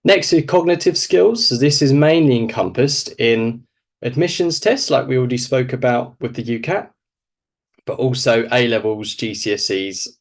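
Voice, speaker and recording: A man's voice: medium pitch, moderate pace. A man with a snuffly cold giving an informal, explanatory online presentation, posing questions as he goes. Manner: explanatory, poses questions then answers them. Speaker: presenter, doctor advising medical school applicants.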